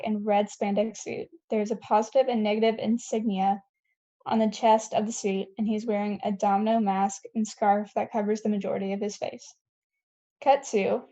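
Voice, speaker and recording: A woman's voice: on the high side, warm tone, muffled recording. A woman reading scripted stage directions at a table read, plainly and with the odd stumble.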